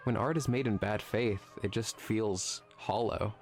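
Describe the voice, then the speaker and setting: A man's voice: fairly low pitch, a little slow, slight background noise. A man playing a sarcastic, irreverent film nerd in a visual novel game, wry and mischievous in his needling. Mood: earnest.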